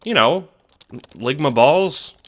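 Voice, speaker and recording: A man playing a cocky, high-pitched juvenile prankster. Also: expressive, takes it slowly, noisy background. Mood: gleeful, taunting. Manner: taunting, juvenile. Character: cocky, immature teenage internet prankster. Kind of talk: audio drama dialogue.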